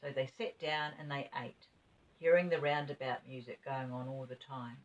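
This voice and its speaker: A woman's voice: rumbling low, steady tone, normal speed, far from the mic, lo-fi sound. An older woman reading a children's storybook aloud as a grandmother would, expressively and with small asides to her listeners.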